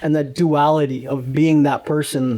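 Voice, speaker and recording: Rambling young man with a higher voice. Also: little pitch movement, moderate pace, dark tone. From Uganda. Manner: rambling, with frequent fillers. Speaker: guest fighter and coach. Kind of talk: casual conversational interview.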